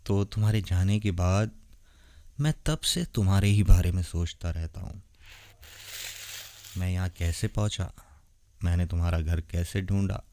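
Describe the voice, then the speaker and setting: Man playing a deep-voiced, tender vampire boyfriend. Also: dry recording. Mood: affectionate. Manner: soft, intimate, gentle, unhurried. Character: tender, lonely centuries-old vampire boyfriend, male. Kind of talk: romantic ASMR roleplay, addressed to the listener.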